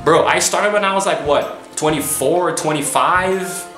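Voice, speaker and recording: A man's voice: fairly high pitch, normal speed, a bit bright, echoey room, soft background music. A man in his twenties talking casually to camera as a YouTuber, rambling and leaning on "you know" between thoughts. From United States. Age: 20s.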